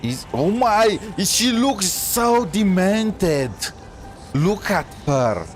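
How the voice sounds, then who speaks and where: A man's voice: high-pitched, big pitch swings, drawn-out words, soft background music. A man giving casual live game commentary as a streamer, blunt and rambling.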